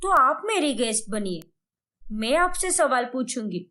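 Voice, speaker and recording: Woman playing excitable young daughter in law in a cartoon story, chirpy n cheeky, highish, swoopy. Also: measured pace, heavily acted. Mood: eager.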